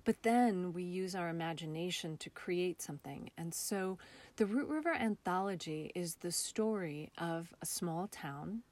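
A woman with an American accent speaking as an interview guest in a casual, reflective way, with thoughtful, halting phrasing. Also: on the low side, lively intonation, darkish tone. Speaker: interview guest, theater artist.

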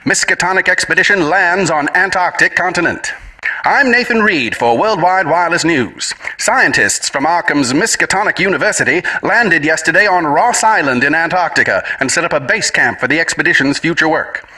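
A man's voice: a little high, expressive, sharp tone, heavily acted, rough recording. A man doing a 1930s radio newsreader. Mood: formal. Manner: brisk, formal announcing. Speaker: news announcer. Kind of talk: 1930s radio news reading.

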